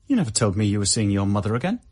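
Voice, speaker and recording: An actor playing a possessive, volatile young busker in a radio drama, insistent, needy and quick to anger. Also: male voice, lowish voice, very animated, darkish tone. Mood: jealous anger.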